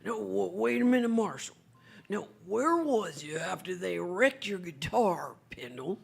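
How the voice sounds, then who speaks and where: Lady doing a raspy excitable saloon keeper, country american, voice swings around a lot. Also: mid-range voice, a little slow, heavily acted. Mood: insistent. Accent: rural American. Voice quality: gravelly, husky. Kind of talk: old-time radio western acting.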